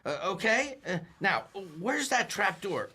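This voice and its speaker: A man's voice: high voice, big pitch swings, measured pace, pushed and bright, husky, full character voice. An actor playing a nervous, bumbling young man on a first date, stammering and flustered in old-time radio comedy style.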